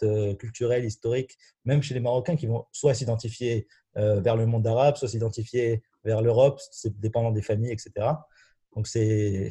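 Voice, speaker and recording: A man's voice: lowish voice, mellow, breathy edge. A young man from Morocco talking casually about himself as a guest on a student podcast. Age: young adult. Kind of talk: casual personal chat.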